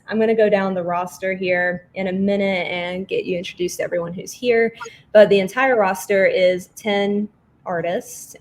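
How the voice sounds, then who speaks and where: A woman's voice: medium pitch, darkish tone. A woman hosting an online artists' panel, introducing guests in a conversational way over a video call.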